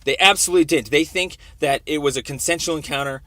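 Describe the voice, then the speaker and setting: A man's voice: a little high, fast talker, fairly bright tone, dry recording. A man arguing his complaint on a phone call in an insistent, repetitive way, often talking over the other person. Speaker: complainant calling police internal affairs. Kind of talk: argumentative phone conversation.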